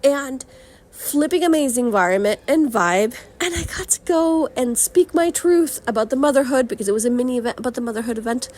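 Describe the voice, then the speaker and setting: A young woman hosting her own vlog and podcast, bubbly and slangy, with a slightly strained voice that is still recovering, swooping between excited highs and low mutters. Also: brisk. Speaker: vlogger and podcast host. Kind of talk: casual upbeat vlog talk.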